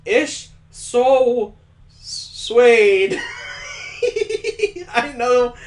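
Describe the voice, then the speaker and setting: A man's voice: very high voice, very slow. A man playing a story game, reading its text aloud and breaking in with wry, mocking asides. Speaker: let's play host.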